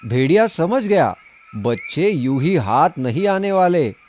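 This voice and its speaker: A man's voice: fairly high pitch, sing-song, a little slow, a little mellow, a little hiss or hum. A man narrating a scripted children's story.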